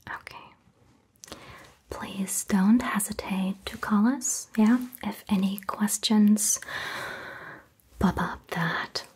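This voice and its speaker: Slow whispering woman doctor, ASMR. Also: mid-range voice, fairly even intonation. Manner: soft unvoiced whisper, gentle and reassuring. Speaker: ASMR creator playing a doctor. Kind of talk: ASMR medical roleplay, whispered. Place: indoor set styled as a small doctor's office.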